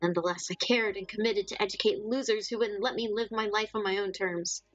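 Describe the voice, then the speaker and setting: A woman voicing an old necromancer witch, sardonic and gruff but secretly kind, blunt and theatrical in a dramatic story. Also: medium pitch, steady tone, brisk, dry recording, muffled recording, natural voice. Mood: bitter, defiant.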